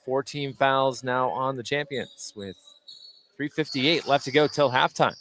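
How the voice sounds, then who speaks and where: A man's voice: mid-range voice, measured pace, fairly bright tone. A man giving live play-by-play radio commentary on a basketball game. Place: indoor gym at a basketball game. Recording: clear gym noise behind the voice. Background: basketball bounces and gym ambience.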